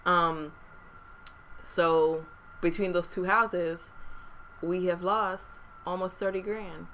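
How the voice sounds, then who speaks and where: This is a woman co-hosting a podcast, speaking in a casual, frank way and dropping plenty of "like" fillers. Her voice sits a little low for a woman but comes across bright and forward. She's recorded close on a desktop microphone. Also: steady tone, takes it slowly, slight background noise, low-quality recording. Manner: frank, chatty, many "like" fillers. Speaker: podcast co-host, real estate investor. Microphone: desktop USB microphone.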